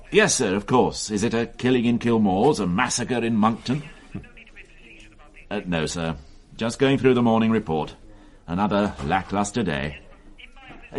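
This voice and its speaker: An actor playing an impatient, sardonic male detective inspector in a radio drama, with a dry, cutting way of talking. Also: lowish voice. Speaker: police detective.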